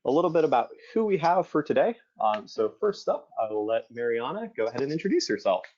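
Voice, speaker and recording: A man hosting a webinar in a relaxed, casual way with many fillers. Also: medium pitch, quick pace, a little hiss or hum. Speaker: webinar host. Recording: muffled lo-fi online call audio.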